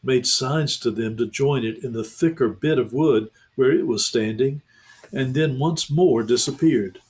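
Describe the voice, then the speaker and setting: A man, a pastor, reading a children's novel aloud in a calm, measured way. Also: mid-range voice, slight background noise. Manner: calm, measured, slows for tension. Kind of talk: storybook read-aloud.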